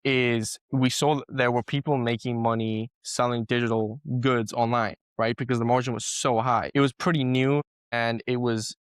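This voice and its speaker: A man's voice: mid-range voice, flat delivery, moderate pace, hardly any pauses, clear, resonant tone, dry recording, studio quality. A man in his twenties with a South African accent, a guest telling his story in a casual podcast interview, chatty with many fillers and restarts. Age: 20s. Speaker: guest entrepreneur.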